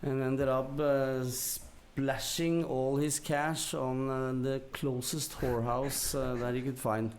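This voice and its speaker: A man from Norway with a Norwegian accent, telling a story casually and haltingly as a podcast guest. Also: mid-range voice, little pitch movement. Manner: halting, hesitant delivery with long pauses.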